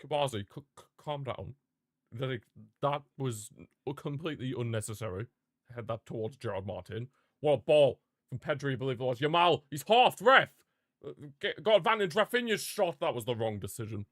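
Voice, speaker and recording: A man's voice: mid-range voice, expressive, normal speed, a bit bright, no room sound, studio quality. A man reacting live to a football match as a streamer, ranting and calling out to his chat. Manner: passionate, frustrated fan outbursts and rants; talks to live chat viewers.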